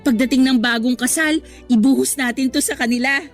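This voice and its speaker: An actress playing a cheerful, respectful female store employee with a Filipino accent, polite and expressive in a radio drama. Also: sits high, fairly quick, faint music behind. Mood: excited. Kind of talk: Tagalog radio drama acting, conversational.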